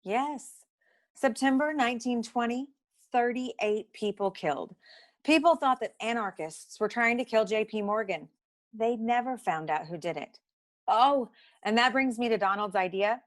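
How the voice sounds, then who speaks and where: A testy secretary from a noir comedy radio play, acted by a woman, with a fairly high voice. She is brisk, matter-of-fact and dryly superior, a know-it-all with little patience. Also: natural voice.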